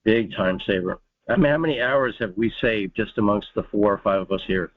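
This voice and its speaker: A man's voice: fairly low pitch, brisk, no room sound. A man making casual remarks on a conference call. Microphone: telephone conference call. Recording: muffled, narrow phone-line audio.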